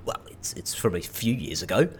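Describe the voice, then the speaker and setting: Audio drama clerk, low and lively, eager to please, rambling and hesitant. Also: female voice, normal speed, noisy background. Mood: awkwardly helpful. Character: awkward, eager-to-please petrol station clerk. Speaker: petrol station attendant. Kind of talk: naturalistic audio drama acting.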